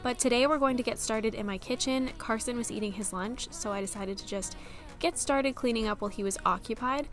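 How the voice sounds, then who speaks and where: A woman's voice: on the high side, a little fast. A young woman with a slightly stuffy, scratchy voice from a cold, chatting casually as she narrates her cleaning vlog. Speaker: vlogger, mother. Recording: music playing underneath.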